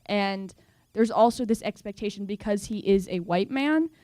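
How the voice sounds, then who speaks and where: A teenage girl on a student panel making an analytical, opinionated point with a wry edge. Her voice is mellow and dark-toned, recorded dry and close. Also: medium pitch, normal speed, no room sound. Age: teens. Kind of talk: panel discussion answer.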